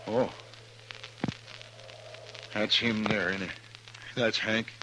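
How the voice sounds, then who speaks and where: A man's voice: on the low side, fairly even intonation, extremely slow. A man playing a blunt, hot-tempered, stubborn Western rancher father in a 1950s radio western. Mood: shaken. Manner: blunt, forceful, folksy Western speech. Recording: noisy old 1950s radio recording.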